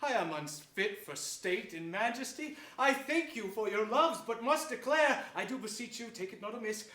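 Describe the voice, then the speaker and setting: A man's voice: high-pitched, expressive, pushed and bright. An actor playing an ambitious, scheming usurper king who feigns piety, in declamatory Shakespearean stage style. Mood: feigned humble refusal. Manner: theatrical, slow, emphatic. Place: theatre stage before an audience. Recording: echoey theatre stage.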